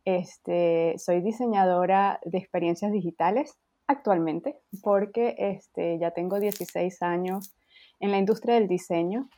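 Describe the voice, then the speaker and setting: A woman's voice: fairly low pitch, unhurried, dry recording. A woman in her thirties from Venezuela with a Venezuelan accent, a guest chatting on a podcast over a video call, laughing lightly between thoughts. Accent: Venezuelan Spanish. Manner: warm, laughs lightly, many fillers. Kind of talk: casual interview chat. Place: home, in France.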